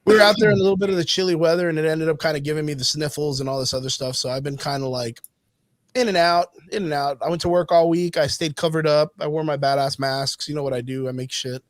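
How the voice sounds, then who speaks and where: A man's voice: on the high side, fast, no echo. Male podcast co-host, slightly sick, casual and profane, dry close recording. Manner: laid-back, swears freely. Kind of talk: casual chat.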